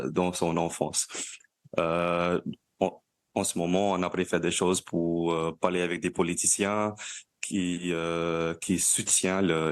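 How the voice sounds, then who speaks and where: A young man with a Louisiana French accent, giving easygoing interview answers and pausing to search for words. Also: sits low. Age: young adult. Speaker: guest, language activist.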